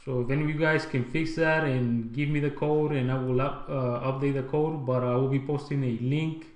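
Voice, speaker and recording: A man's voice: mid-range voice, steady tone, moderate pace, darkish tone. Man giving a casual tutorial, echoey room. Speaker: tutorial presenter. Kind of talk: casual screen-recorded tech tutorial. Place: indoor room.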